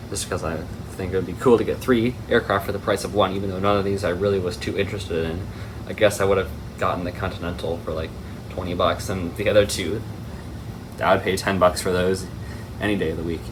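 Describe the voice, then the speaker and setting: A steady air-conditioning hum sits behind a man's low voice. He talks casually, like a hobbyist presenting an unboxing to viewers. His delivery rambles and tends to wander off on tangents. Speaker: hobby video host.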